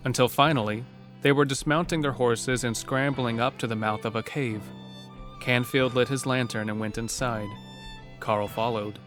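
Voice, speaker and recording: Casting: male narrator for scripted horror fiction, mid-range voice, set over a prominent music bed. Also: mid-range voice. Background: music under the narration.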